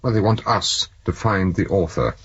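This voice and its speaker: A very husky police superintendent, brusque, authoritative and sardonic. His pitch moves about in a lively way as he hands out orders, in a dull-sounding 1970s radio drama recording. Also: moderate pace, exaggerated voice. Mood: sardonic, pressed.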